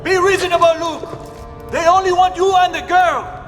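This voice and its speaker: Villainous turncoat, very high and forceful, theatrical game cutscene performance. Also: male voice, fairly even intonation, normal speed, big-room sound, soft background music. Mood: urgent, pleading. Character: oily, shouting Spanish traitor, theatrical villain. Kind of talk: video game cutscene acting.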